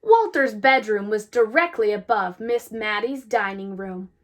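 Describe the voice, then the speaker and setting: A woman reading a children's story aloud with deliberate, expressive phrasing. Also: mid-range voice. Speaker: storybook reader. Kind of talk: children's read-aloud, scripted narration.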